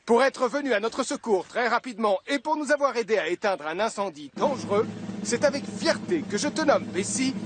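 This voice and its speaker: High-pitched heroic cartoon firefighter. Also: male voice, lively intonation, fairly quick, background noise. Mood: kindly, proud. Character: heroic, dependable, friendly adult male firefighter. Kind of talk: French cartoon dub. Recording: music behind the voice.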